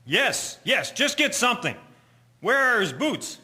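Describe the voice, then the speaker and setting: A man's voice: very high-pitched, big pitch swings, a little slow, sharp tone, full character voice. An actor playing a concerned, grateful father in an audio drama, earnest and used to giving orders. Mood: worried, urgent. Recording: reverberant, faint noise and clicks.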